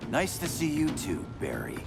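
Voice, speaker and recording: An actor playing a self-assured, wry hero in a video game cutscene. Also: male voice, medium pitch, wildly swooping pitch, moderate pace, creaky. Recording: music behind the voice.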